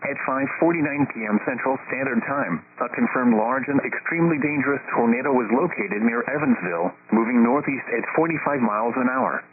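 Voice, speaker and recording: A synthetic male announcer reading scripted weather warnings in a formal, measured way over the radio. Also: mid-range voice, expressive. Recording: tinny, noisy weather radio broadcast with hum.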